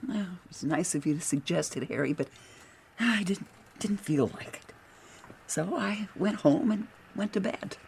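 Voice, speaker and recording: An actress playing an earnest, easily shaken detective agency secretary with an American accent in a radio drama. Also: female voice, lowish voice, expressive, a little slow, vocal fry, darkish tone, a little hiss or hum. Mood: hesitant.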